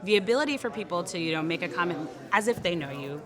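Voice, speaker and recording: A young woman interviewer in a bright studio room, chatty and affirming, with frequent fillers. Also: on the low side, animated, fast, over music. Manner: chatty, affirming, lots of "like" and "right". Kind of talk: casual interview chat. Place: bright studio room with windows, people moving behind. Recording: crowd chatter in background.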